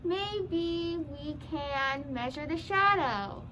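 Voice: sits high, lively intonation, very slow, bright, forceful tone, a little hiss or hum, full character voice, rough recording. A young teen performer playing a confident puppet with daft logic in a comedy skit. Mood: earnest. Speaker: student puppeteer in a school drama show.

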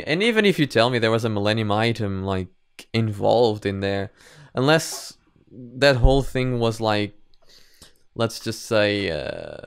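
A man in his twenties talks casually into a close mic at home. His voice is clear and smooth, and he rambles a little as he works out his thoughts like a reaction host. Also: medium pitch. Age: 20s. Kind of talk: casual chat reaction and commentary. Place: small room at home. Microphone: close boom-arm studio microphone.

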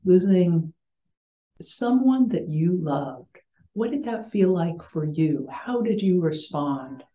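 An older woman with an American accent giving a gentle chapel talk to schoolchildren as a chaplain, in simple phrases, over a video call from a small room. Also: lowish voice. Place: small home room with bookshelves. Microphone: computer mic on video call. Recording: roomy, dull video-call sound.

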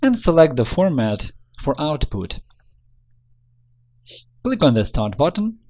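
A man reading a scripted software tutorial in an instructional, step-by-step manner. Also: fairly high pitch, big pitch swings, slow.

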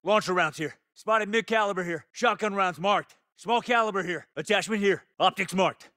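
A tough American soldier in a military shooter game. He gives clipped, commanding combat callouts in a bright, forceful, high-set voice, recorded very dry. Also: moderate pace, no echo. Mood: calm, focused. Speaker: video game voice actor.

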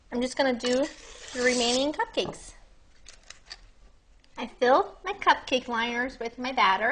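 A young woman hosting a cooking demonstration in her home kitchen, chatting brightly and speaking straight to her viewers. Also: sits high. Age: young adult. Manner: bubbly, chatty, addresses viewers directly. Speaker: cooking show host. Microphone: lapel mic.

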